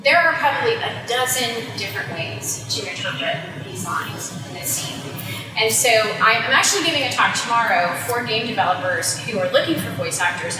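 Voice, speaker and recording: A woman with a fairly high voice leads a workshop in an explanatory, conversational style, stressing her points as she goes. She is picked up from a distance in a big, very reverberant hall, so the room rings around every phrase. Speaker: voice actor giving a workshop. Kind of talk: live workshop talk, explanatory. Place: conference room at a gaming convention. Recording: very echoey hall, distant mic.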